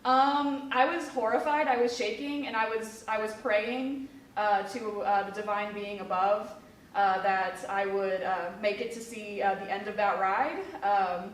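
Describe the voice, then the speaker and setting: A woman's voice: mid-range voice, steady tone, a little slow, a little hiss or hum. Young woman, casual interview talk, lots of fillers, roomy, distant mic. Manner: chatty, many fillers. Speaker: museum education specialist. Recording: echoey room from a distance.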